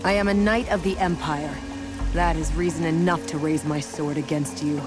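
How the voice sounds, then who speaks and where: Fantasy game role: stern female lieutenant voiced by a woman, lowish register, cold military authority, music loud behind. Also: steady tone, moderate pace, natural voice. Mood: stern, resolute. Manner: clipped, formal, commanding. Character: stern, dutiful female imperial knight lieutenant, coldly haughty. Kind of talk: anime fantasy game voice acting.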